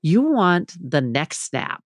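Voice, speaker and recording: A middle-aged woman giving advice as a podcast guest, with a low, fairly even voice and a measured, deliberate way of talking. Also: dry recording, clean studio sound. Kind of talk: conversational advice in an interview.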